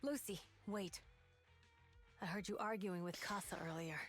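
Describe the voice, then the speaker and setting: An actress playing a caring, level-headed young peacemaker in a video game, talking in a sincere, reasoning way. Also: female voice, mid-range voice, normal speed, soft background music, close to an everyday voice.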